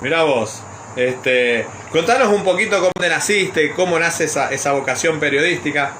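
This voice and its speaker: Argentine guy from buenos aires area hosting a casual interview, very bright voice, slight noise behind him. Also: on the high side, normal speed. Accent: Buenos Aires Argentine Spanish. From Buenos Aires province, Argentina. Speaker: interviewer and program host. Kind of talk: informal conversational interviewing.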